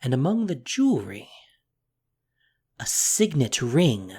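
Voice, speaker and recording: A narrator reading a fantasy story in a measured, expressive storytelling voice. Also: medium pitch, husky.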